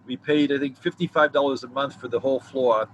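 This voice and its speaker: A man's voice: medium pitch, fairly even intonation, brisk, lo-fi sound, a little hiss or hum. Reflective, rambling man in his sixties. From New York City. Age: 60s. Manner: rambling, reflective, many fillers and restarts. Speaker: novelist, guest. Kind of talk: casual video interview.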